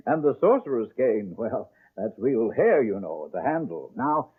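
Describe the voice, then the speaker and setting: Fussy, swooping English gentleman landlord. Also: medium pitch, very animated, measured pace, breathy edge. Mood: affable, fussy. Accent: British. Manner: fussy, rambling, self-correcting, chuckling politeness. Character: genteel, eccentric English landlord, courteous and faintly sinister. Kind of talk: radio mystery drama acting. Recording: narrow-band old radio broadcast.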